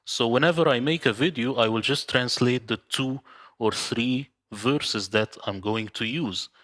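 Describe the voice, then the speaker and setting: A man from the Middle East, a native Arabic speaker, who talks in an earnest, measured way. He is an interview guest joining over a video call. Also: mid-range voice, fairly bright tone.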